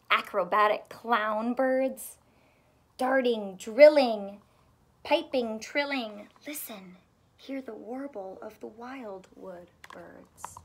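High-voiced woman reading slowly to children. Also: slightly rough. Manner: expressive, sing-song, questioning children. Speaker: teacher reading aloud to children. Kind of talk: picture-book read-aloud. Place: small furnished room indoors.

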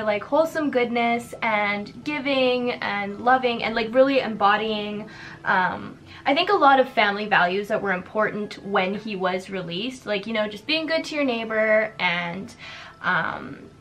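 A woman's voice: a little high, fairly bright tone, a little hiss or hum. A young woman from Canada hosting her own channel, chatting casually and upbeat with lots of filler words while doing her makeup in a decorated bedroom. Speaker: YouTube host.

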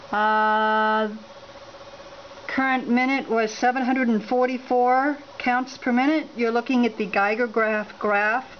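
A fairly high-voiced woman talks haltingly to her camera at home, filling gaps with "uh" as she reads numbers off a screen. The sound is dull and lo-fi, picked up by the camera's built-in mic, with a faint hiss underneath. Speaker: home radiation monitoring vlogger. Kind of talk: unscripted on-camera walkthrough. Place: indoors at a home, beside a laptop.

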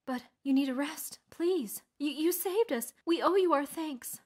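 A woman's voice: high-pitched, a little slow, darkish tone, pitched above the performer's own voice. A woman voicing a concerned, grateful village woman who pleads earnestly in a fantasy story. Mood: worried, grateful.

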